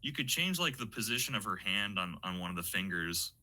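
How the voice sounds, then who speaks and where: A man's voice: fairly low pitch, big pitch swings, fairly quick, bright, forceful tone. A man hosting a casual interview, talking in an informal, conversational way.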